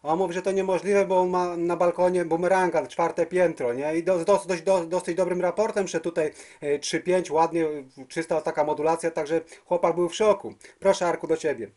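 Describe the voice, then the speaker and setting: Higher-voiced man on the radio. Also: steady tone, a little fast, few pauses, a little mellow. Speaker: amateur CB radio operator. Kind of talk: casual radio contact chat. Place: at a home radio base station.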